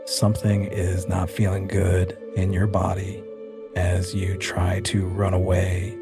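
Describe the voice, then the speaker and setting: A man's voice: deep voice, monotone, takes it slowly, music in the background. A man acting as game master, narrating a tabletop game with dramatic storytelling and casual banter.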